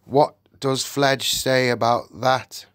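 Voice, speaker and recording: A man reading aloud and voicing a majestic male lion king with a slow, grand, solemn delivery. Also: medium pitch, fairly even intonation, a bit bright, no echo, clean studio sound, voice pushed up. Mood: warm.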